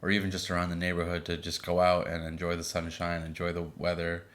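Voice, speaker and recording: A man in his thirties co-hosting a podcast with his wife, chatting casually with a relaxed, filler-laden manner. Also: deep voice, fast. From Boston area. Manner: relaxed, many fillers and restarts. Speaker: podcast co-host. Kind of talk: casual chat.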